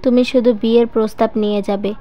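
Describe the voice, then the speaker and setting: A woman voicing a brazen, coolly stubborn grown son in a story, with a calm, demanding manner. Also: on the high side, quick pace, lightly acted. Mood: calm threat. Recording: muffled lo-fi voice track with music.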